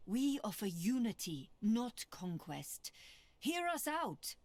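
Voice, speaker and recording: A woman's voice: on the high side, sing-song, takes it slowly, a little mellow, no room sound, exaggerated voice. An actress playing a resolute female knight in a video game, composed and earnest in her delivery. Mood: earnest appeal. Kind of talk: video game cutscene acting. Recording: prominent music behind the voice.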